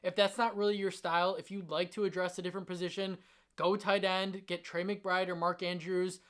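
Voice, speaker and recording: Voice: mid-range voice, level tone, a little fast, fairly bright tone. A sports content host speaks straight to the audience in an emphatic, enthusiastic way. The voice is slightly strained from a long stretch of talking. The delivery is that of someone laying out fantasy sports advice with conviction. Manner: emphatic, enthusiastic about the picks. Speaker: fantasy football channel host.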